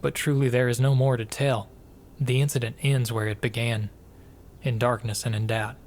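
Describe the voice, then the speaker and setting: An actor playing a tormented husband confessing to murder, reading a gothic tale in a somber, formal, literary manner. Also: male voice, medium pitch, little pitch movement, normal speed, a little mellow, slight background noise, natural voice. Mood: bleak, melancholy. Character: tormented, guilt-ridden husband confessing to murdering his wife. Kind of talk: dramatic first-person reading of a gothic tale.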